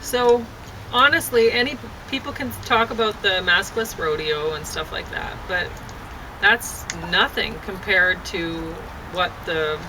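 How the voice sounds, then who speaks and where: A woman's voice: medium pitch, a little slow. A woman chatting casually outdoors, in a hesitant, rambling way that often repeats itself. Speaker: poet and vlogger. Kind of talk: casual chat. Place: outdoors on a deck near downtown. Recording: clear outdoor urban and traffic noise.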